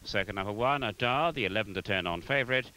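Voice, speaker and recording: A man reading out horse racing results in a formal, measured, scripted manner. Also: lowish voice, sharp tone, clean tone.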